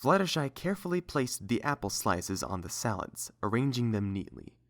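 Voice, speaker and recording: Animated audiobook narrator with lively pitch. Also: normal speed. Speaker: narrator and reader. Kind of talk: scripted story narration, audiobook.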